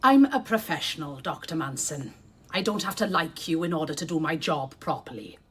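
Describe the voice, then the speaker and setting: An actress playing a proud, professional nurse in a radio drama, with a cool, curt manner. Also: female voice, low voice, animated, moderate pace, husky. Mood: frosty. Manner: curt, frosty. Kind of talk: BBC radio drama acting.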